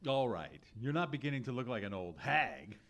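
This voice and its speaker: A man's voice: medium pitch, very animated, moderate pace, a bit bright. A man playing a grouchy, sleepy, sarcastic henpecked husband in an old-time radio comedy, grumbling exasperated, dry retorts. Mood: weary sarcasm. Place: live theatre stage performance.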